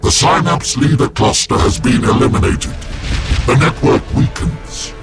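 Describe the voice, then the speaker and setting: An actor playing a grave, stoic space-marine warrior of rank in a video game, speaking in a measured, formal, commanding way. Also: male voice, medium pitch, over loud music, close to an everyday voice. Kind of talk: game cutscene acting.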